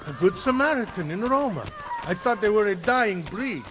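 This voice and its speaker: An actor playing an anxious, wry old senator in a video game, theatrical and self-pitying, with an Italian accent. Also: male voice, high-pitched, expressive, normal speed, background noise. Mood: sardonic. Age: older. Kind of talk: video game cutscene acting.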